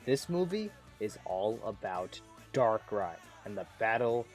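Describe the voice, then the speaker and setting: A man's voice: lowish voice, sing-song, a little slow. A man hosting a scripted comedic review countdown, sarcastic and self-deprecating in his delivery. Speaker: host of a video review countdown. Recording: amateur recording with light background music.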